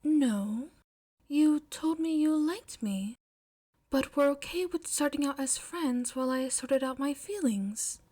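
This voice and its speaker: A woman voicing a polite, bashful teenage girl in love, soft and hesitant, in a fan fiction audio reading. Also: high-pitched, slow pace, pitched above the performer's own voice.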